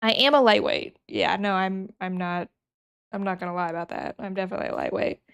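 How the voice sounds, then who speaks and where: Sick young woman streamer, sniffly, bit of vocal fry, chatting w her chat and thinking out loud. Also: medium pitch, steady tone, fairly quick. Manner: chatty, reads chat aloud and thinks aloud while working. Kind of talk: casual live-stream chat.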